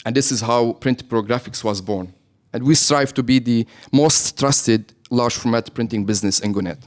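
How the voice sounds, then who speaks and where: A man's voice: medium pitch, moderate pace. A man with a Lebanese accent, a business owner talking as a guest in a radio interview, who often checks in with "right?". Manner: explains with frequent "right?" tags.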